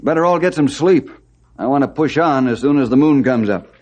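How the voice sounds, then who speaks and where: An actor playing a steady, commanding ranger in an old radio western, firm and authoritative. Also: male voice, medium pitch, fairly quick, dull audio, natural voice. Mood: resolute. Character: steady, commanding male ranger leading desert party. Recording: noisy old radio recording.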